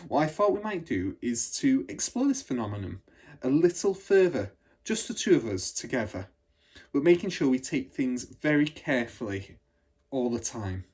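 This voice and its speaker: Man voicing a patient, cautious woman schoolteacher, husky. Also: medium pitch, big pitch swings, a little mellow. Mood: patient, careful. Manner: careful, measured, explanatory. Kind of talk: children's storybook read aloud.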